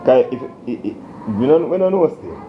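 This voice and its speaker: A man's voice: medium pitch, sing-song, moderate pace. Jamaican man, muffled noisy recording. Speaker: vlogger hosting a relationship prank. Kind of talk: casual Patois argument and mediating. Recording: muffled, noisy home video audio.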